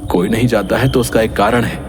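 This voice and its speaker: A man voicing a poor, discouraged fisherman father in an animated story, sounding resigned. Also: lowish voice, fairly quick, music in the background, close to an everyday voice. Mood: dejected. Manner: downcast, resigned.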